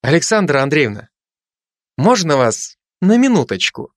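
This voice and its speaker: A man's voice: on the high side, very animated, dry recording, clean studio sound, voice pushed up. A man voicing a cheerful young lieutenant who jokes with soldiers and nurses. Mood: polite. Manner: brisk, friendly.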